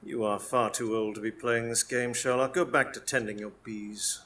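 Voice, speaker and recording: An actor playing a sinister, taunting criminal mastermind in a live radio drama, with mocking condescension. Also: male voice, medium pitch, steady tone, moderate pace, sharp tone, slight background noise, close to an everyday voice.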